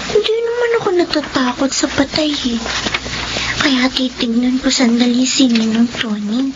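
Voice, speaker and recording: High-voiced little girl in radio drama. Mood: curious. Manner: polite, childlike. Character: young schoolgirl, polite, curious, then terrified. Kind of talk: radio horror drama acting. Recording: dull lo-fi audio with loud noise.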